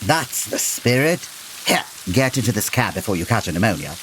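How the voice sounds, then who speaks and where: Victorian detective, proper and precise, very animated intonation, audio comedy, noisy rainy backdrop. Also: male voice, on the low side, brisk. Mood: genteel, brisk. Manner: formal, precise, gently pompous. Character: composed, courteous Victorian gentleman detective. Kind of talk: comedy audio drama acting. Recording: noisy, rain-like hiss behind.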